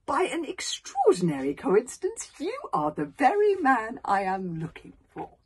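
Woman playing a fawning, breathy, charming gentleman. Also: high-pitched, huge pitch swings, unhurried, voice pushed up, heavily acted. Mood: gushing flattery. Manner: flowery, fawning. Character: smooth-talking, charming man, flattering and theatrically courteous.

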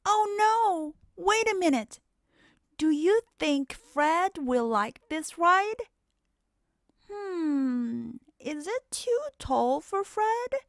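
A woman voicing an excitable, competitive young fox in a children's storybook, with animated, exclamatory delivery. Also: very high-pitched, very slow, no room sound, clean studio sound, voice pushed up, full character voice. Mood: worried.

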